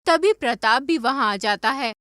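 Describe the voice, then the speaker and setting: A woman's voice: sits high, lively intonation, normal speed, bright, forceful tone, no echo, studio quality. A woman reading scripted story narration for a cartoon.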